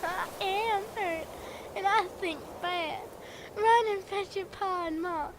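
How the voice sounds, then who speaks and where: A woman's voice: extremely high pitch, slow, a little hiss or hum, rough recording. A female actor playing an injured young farm girl in pain, in an audio drama. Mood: pained, urgent.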